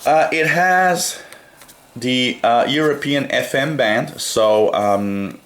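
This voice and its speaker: A man giving a casual gadget review, explaining things in a hesitant way with frequent pauses. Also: medium pitch, a bit bright. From North America. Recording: roomy, echoey sound.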